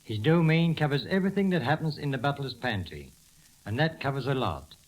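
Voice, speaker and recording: A man's voice: mid-range voice, expressive, brisk, poor audio. A man speaking as a butler guest in a scripted 1930s radio talk, formal and deferential, on an old hissy recording.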